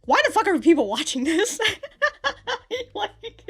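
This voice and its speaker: A woman's voice: extremely high pitch, sing-song, unhurried. A woman giving casual, rambling reaction commentary as a host, with a giggly, slangy way of talking and some swearing. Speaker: anime reaction host and reviewer.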